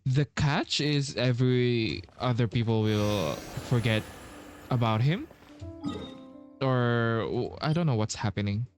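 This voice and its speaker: A man giving casual commentary on a video game playthrough, musing in a hesitant, halting way. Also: medium pitch. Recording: commentary over game music.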